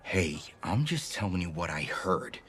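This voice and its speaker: Animated, over-familiar game gossip. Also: male voice, low voice, a little slow, slightly rough, full character voice. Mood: earnest, confiding. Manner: confiding, earnest. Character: earnest, over-familiar male gossip warning a friend. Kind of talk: video game dialogue acting.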